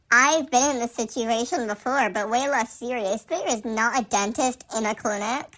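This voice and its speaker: A woman's voice: a little high, moderate pace, a bit bright, low-quality recording. A woman reading fan fiction aloud through a voice changer in a casual way, with sarcastic asides and frequent stumbles over the words.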